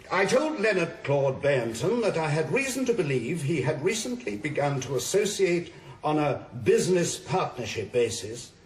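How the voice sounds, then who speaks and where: An actor playing a sardonic, needling defence barrister who questions formally and insistently in a radio drama. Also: male voice, on the high side, roomy sound, a little hiss or hum. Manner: formal, theatrical, insistent cross-examination with mock courtesy.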